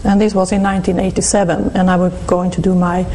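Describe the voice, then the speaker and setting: A Swedish woman in her fifties with a Swedish accent, speaking as an interview guest and recounting her life in a hesitant, halting way with many restarts. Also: on the low side, level tone, dark tone, a little hiss or hum. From Sweden. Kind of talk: reflective personal testimony.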